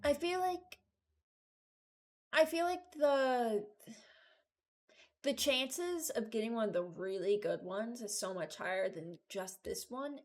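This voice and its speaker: Young woman casually thinking out loud. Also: on the high side, slow pace, pauses often. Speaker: video creator ranking game voice lines. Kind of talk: casual, unscripted reaction commentary.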